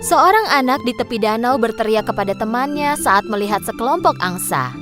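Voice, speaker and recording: Animated woman storyteller over music. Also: on the high side, brisk. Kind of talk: scripted children's story narration.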